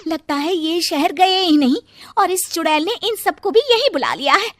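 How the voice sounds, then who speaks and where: A woman voicing a worried but bold village mother in a cartoon story, emphatic and expressive. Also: very high-pitched, normal speed, heavy background noise.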